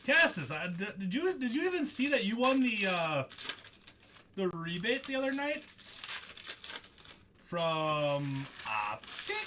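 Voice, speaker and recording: A man's voice: high-pitched, background noise. A young man hosting a live trading-card break stream, chatting casually with viewers by name, laughing often as he reads out the cards he pulls.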